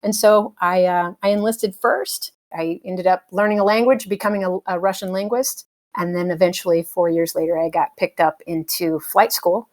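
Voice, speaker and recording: A middle-aged woman with a slightly low voice talks as an interview guest. She answers in a relaxed, thoughtful way, filling pauses with little hesitations and easy laughs. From United States. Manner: thoughtful, with frequent fillers and light laughs. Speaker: interview guest, helicopter pilot. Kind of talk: casual conversational interview.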